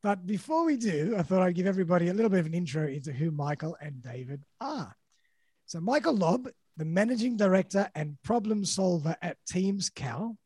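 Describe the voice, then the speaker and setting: A man's voice: high voice, animated, a little fast, darkish tone, breathy edge, dry recording. A man hosting a podcast interview, upbeat and full of praise for his guests. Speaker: podcast host.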